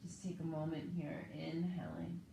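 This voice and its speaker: A woman in her thirties, slightly hoarse, calmly leading a guided yoga and breathing session from her living room. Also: deep voice, normal speed. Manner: calm, gentle cueing with breath. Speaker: yoga and meditation teacher. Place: living room at home, indoors. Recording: echoey home room, faint background noise.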